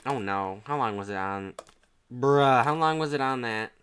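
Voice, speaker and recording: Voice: medium pitch, expressive, normal speed, fairly bright tone, a little hiss or hum. A streamer with a slangy, exclamatory way of talking, reacting live to a game.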